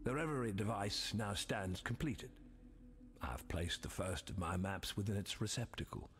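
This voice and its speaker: A man playing an obsessed scholarly sorcerer in a video game, speaking in a grandiose, deliberate, brooding manner. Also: lively intonation, a bit bright. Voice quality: low, gravelly. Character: obsessed scholarly male thaumaturgist reading his dark journal. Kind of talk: theatrical dramatic game monologue.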